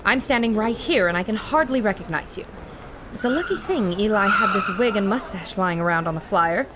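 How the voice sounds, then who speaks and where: A woman's voice: medium pitch, lively intonation, brisk, very noisy, lightly acted. A woman playing a bold, plucky adventurer in a radio drama, earnest and assertive. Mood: confident.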